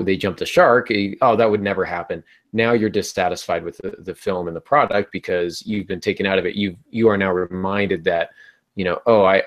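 A middle-aged man hosting a panel podcast, explaining in a conversational way and posing rhetorical questions. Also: low-pitched. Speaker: podcast host. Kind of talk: conversational writing-advice panel talk. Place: small room at home, indoors. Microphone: earbud headset on video call.

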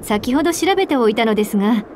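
A woman voicing a composed, polite young church woman in a Japanese video game, formal, refined and gently firm. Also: a little high, lively intonation, fast, a little hiss or hum, lightly acted.

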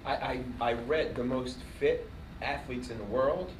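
A man co-hosting a relaxed studio chat, jumping in eagerly with his own points. The sound is roomy and distant, with a noisy floor. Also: medium pitch, a little slow. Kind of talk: casual podcast interview.

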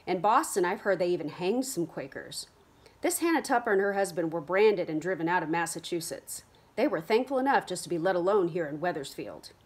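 A woman reading the lines of a serious, cautious middle-aged Puritan aunt who gently admonishes her niece. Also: lowish voice, expressive, a little fast, breathy edge.

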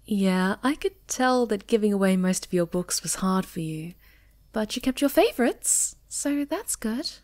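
A woman playing a sweet, affectionate, polite moth monster girl in an intimate ASMR roleplay, gentle and often dropping toward a whisper. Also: medium pitch, lively intonation, unhurried, natural voice. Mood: affectionate, playful. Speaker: voice actor in an audio roleplay.

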